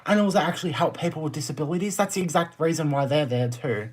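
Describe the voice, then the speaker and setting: A man's voice: a little high, fast talker. A man with an Australian accent chatting casually on a livestream, answering viewer comments in a blunt, exasperated, emphatic way. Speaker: live streamer.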